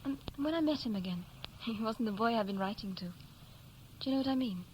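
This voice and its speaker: An actress playing a curious, gently probing young woman from London in a 1960s radio play, talking in a natural conversational way. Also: on the high side, animated, moderate pace, lightly acted. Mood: reflective, candid. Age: young adult. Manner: inquisitive, conversational. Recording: faintly noisy vintage 1960s radio recording.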